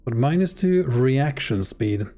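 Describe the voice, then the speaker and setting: A man whose native language is Swedish chats casually while playing a game live. His delivery is slow and warm, and he jokes around relaxedly. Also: medium pitch. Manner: relaxed and joking, with frequent fillers. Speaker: streamer. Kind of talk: casual live gameplay commentary.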